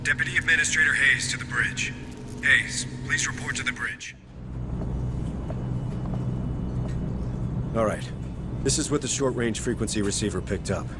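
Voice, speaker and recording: A man playing a weary, blunt marine squad leader in a video game, talking in a gruff, sardonic, curt way. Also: mid-range voice, a little fast, noisy background, lightly acted. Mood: businesslike. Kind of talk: video game dramatic acting.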